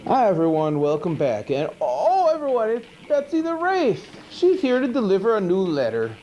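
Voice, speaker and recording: Man as a rambling goofy monster host, muffled tape. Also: normal speed. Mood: friendly, consoling. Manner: rambling, hesitant, laid-back deadpan asides with pitch swoops. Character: goofy, rambling, good-natured Frankenstein-style monster hosting horror movies. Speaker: monster movie show host. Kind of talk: casual comic hosting monologue. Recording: dull noisy 1980s VHS tape.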